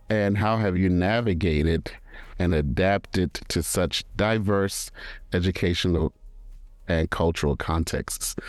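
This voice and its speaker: Deep-voiced podcast host. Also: male voice, dry recording. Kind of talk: podcast interview questions.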